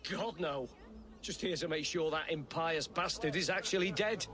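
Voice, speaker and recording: An actor playing a bitter, crude, scornful cuckolded husband in a video game. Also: male voice, a little high, normal speed, fairly bright tone, natural voice. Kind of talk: video game dramatic acting.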